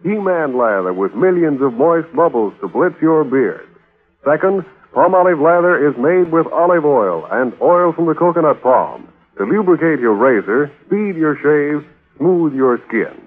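A man's voice: a little high, lively intonation, a little slow, husky, phone-quality sound. A man announcing on 1940s radio, delivering scripted copy with polished, emphatic salesmanship. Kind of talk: 1940s radio advertising read. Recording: thin, band-limited 1940s radio.

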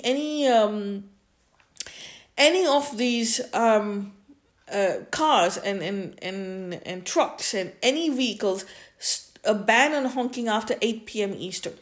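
A woman giving an informal opinion monologue as a podcast host, emphatic and repeating phrases for stress. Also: medium pitch. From India.

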